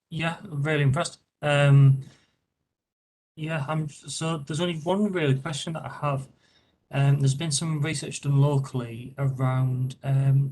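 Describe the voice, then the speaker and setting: A man's voice: mid-range voice, darkish tone, a little hiss or hum, rough recording. A man councillor asking a question over a video call, rambling with many fillers.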